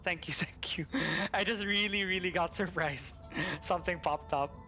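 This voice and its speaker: Male game streamer, higher-pitched, excitable and chatty, casual live delivery over clear game music. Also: moderate pace, muffled recording. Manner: excitable, repeats thanks, chuckles often. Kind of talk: casual livestream chat. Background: game music and character voices.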